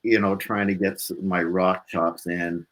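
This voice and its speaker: A man's voice: sits low, level tone, a little slow, fairly bright tone. A man speaking as a musician guest in a casual interview, telling stories in a rambling, easygoing way. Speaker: musician guest on a talk show.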